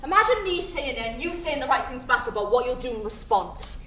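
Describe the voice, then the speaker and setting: A woman's voice: high voice, sing-song, a little fast, sharp tone, heavily acted. A woman playing an exasperated, intense and emphatic woman of the afterlife in a stage play. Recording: distant, echoey stage recording, faint noise.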